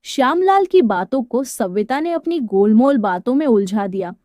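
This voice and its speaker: High-voiced woman narrating a story. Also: animated, moderate pace, dark tone, no room sound, clean studio sound.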